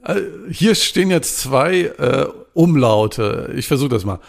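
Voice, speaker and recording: A man's voice: medium pitch, animated, unhurried, gravelly. A man co-hosting a casual podcast, playful and laughing at his own attempts at dialect words. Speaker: podcast co-host.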